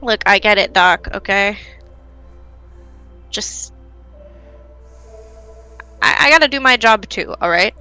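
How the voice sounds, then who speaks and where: Casting: woman as curt, guarded male alien worker, visual novel, bright forceful tone, music underneath. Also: medium pitch, lively intonation, takes it slowly, long pauses, clean tone. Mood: resigned. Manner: blunt, casual, guarded. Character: gruff, busy, foul-mouthed male furry alien station worker. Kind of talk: visual novel character reading.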